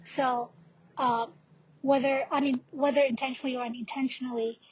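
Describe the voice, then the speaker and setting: A woman's voice: high-pitched, level tone, moderate pace, a little mellow, husky. A young woman in her twenties, a student mentor speaking earnestly in a podcast discussion. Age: young adult. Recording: dull lo-fi audio, faint noise.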